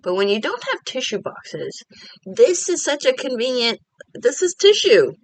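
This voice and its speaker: A woman's voice: high-pitched, big pitch swings, measured pace, rough recording. A Korean American woman talking candidly and rambling in a casual confessional vlog from home. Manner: candid, rambling, self-deprecating. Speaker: vlogger.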